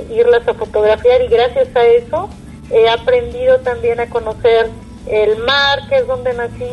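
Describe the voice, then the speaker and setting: A woman's voice: fairly high pitch, muffled recording, a little hiss or hum. A woman from Mexico with a Mexican accent, speaking casually and conversationally as an interview guest. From Puerto Vallarta, Mexico.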